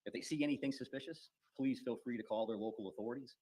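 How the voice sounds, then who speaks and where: Fast, husky-voiced male official. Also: medium pitch, mellow. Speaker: public safety commissioner. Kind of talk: formal press conference briefing.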